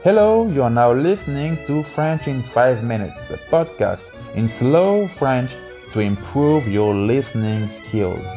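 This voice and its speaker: A man reads a podcast intro slowly and with a lively rise and fall. His voice is mellow. Soft violin music plays behind him. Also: medium pitch. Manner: slow, lively read-out intro. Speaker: podcast host. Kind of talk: scripted bilingual intro. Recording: voice over faint violin music.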